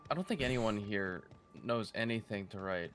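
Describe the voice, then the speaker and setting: A man's voice: fairly low pitch, moderate pace, a bit bright, soft background music. A man casually joking with friends over voice chat while streaming a game. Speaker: gaming streamer.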